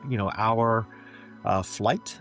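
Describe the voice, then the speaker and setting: A middle-aged Canadian man telling his life story as an interview guest, in a measured, reflective way with frequent "um" and "you know.". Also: lowish voice, fairly bright tone, soft background music. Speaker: guest, physician executive.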